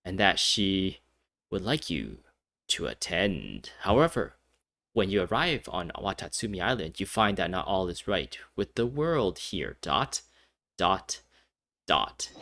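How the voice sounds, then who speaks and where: A man's voice: sits low, very animated, slow, creaky, sharp tone, no echo, studio quality. A man giving casual gameplay commentary, rambling with many fillers and restarts. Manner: rambling, hesitant, frequent fillers and restarts, laughs often. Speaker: gaming commentator.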